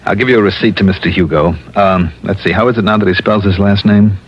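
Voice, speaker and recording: A man plays a cool private investigator from a vintage radio mystery. His low voice is wry, casual and sure of itself, on a dull recording with some hiss. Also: fairly even intonation, fast, lightly acted. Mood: assured. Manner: wry, casual, self-assured. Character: cool, wisecracking male insurance investigator hero. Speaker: lead actor and narrator. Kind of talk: 1950s-60s radio detective acting. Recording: dull, hissy old radio broadcast.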